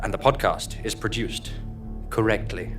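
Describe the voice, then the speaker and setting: A man's voice: on the low side, unhurried, a bit bright, faint music behind. A man reading scripted narration and announcements for a comedy audio drama, tongue-in-cheek and mock-solemn.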